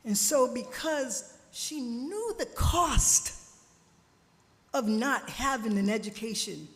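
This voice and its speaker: A woman preaching a sermon at a church service, slow and emphatic, drawing responses from the congregation, her family from Jamaica. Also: fairly high pitch, expressive, a bit bright. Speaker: guest speaker at a church service. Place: church sanctuary. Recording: church hall reverb.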